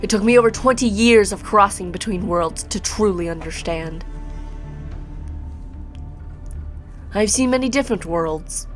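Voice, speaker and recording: A woman's voice: mid-range voice, normal speed, faint music behind, close to an everyday voice. A woman reading the part of a mad, bitter male villain sorcerer in a grandiose, formal video game monologue. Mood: grandiose, scornful.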